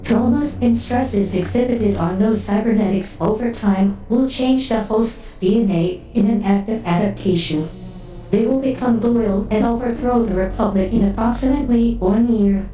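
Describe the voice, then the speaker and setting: An actress playing a calm, secretive female android companion in a sci-fi game, speaking in a measured way. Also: fairly high pitch, echoey room, far from the mic, soft background music, close to an everyday voice, poor audio. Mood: clinical. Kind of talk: measured game cutscene acting.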